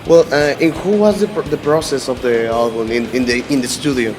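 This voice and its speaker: Halting male interviewer. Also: medium pitch, steady tone, music in the background. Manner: quick, halting English questions with hesitations. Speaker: interviewer host.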